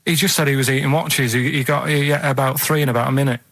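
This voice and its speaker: A man's voice: medium pitch, steady tone, quick pace, a bit bright, no room sound. A man co-hosting a radio show, telling odd stories in a casual, rambling, hesitant way with tag questions. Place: radio studio.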